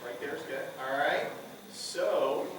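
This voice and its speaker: A man's voice: fairly high pitch, takes it slowly. A man moderating a convention panel in a formal, announcer-like way, welcoming the guest and giving the audience instructions. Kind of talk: announcer-style convention hosting. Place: large convention panel room. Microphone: PA mic heard from a far camera. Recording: echoey far-off hall with room noise.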